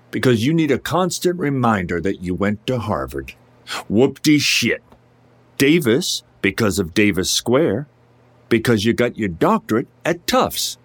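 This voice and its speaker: Cocky, low-voiced ex-Marine tough guy. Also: husky, no echo, full character voice. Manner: mocking, swaggering, blunt. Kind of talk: audio drama acting, taunting monologue.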